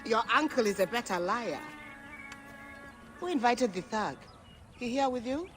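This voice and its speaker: An actor playing a brash, sardonic gambler of ancient Egypt in a game cutscene, with a cocky, salty, theatrical manner. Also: male voice, extremely high pitch, animated, unhurried, a bit bright, faint music behind, exaggerated voice. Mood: mocking. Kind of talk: video game cutscene acting.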